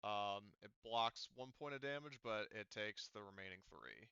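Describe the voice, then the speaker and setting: Guy game mastering, clear lowish voice, keeps explaining rules, dry slightly dull recording. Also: expressive, moderate pace, pushed and bright, dry recording.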